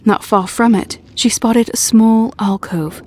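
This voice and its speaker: A woman's voice: a little high, slow pace, darkish tone, slight background noise. A woman reading scripted fairy-tale narration in a storybook storytelling manner.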